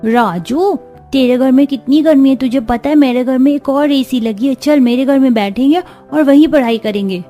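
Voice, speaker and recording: A woman's voice: sits high, normal speed, warm tone, voice pushed up, lightly acted. A woman voicing a young cartoon schoolboy, eager and childishly complaining. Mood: boastful, friendly. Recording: music behind the voice.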